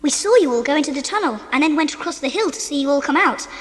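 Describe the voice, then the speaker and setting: A woman playing a bold, bossy child adventurer with an English accent, brisk and no-nonsense, in a dramatised audiobook. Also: sits high, expressive, in a large hall. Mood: steady.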